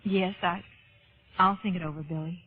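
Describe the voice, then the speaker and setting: An actress playing a wistful married vaudeville performer, torn and loyal, speaking earnestly in an old radio drama. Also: female voice, fairly low pitch, fairly even intonation, moderate pace, slight background noise, natural voice. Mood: torn, subdued. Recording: tinny old radio broadcast, music behind.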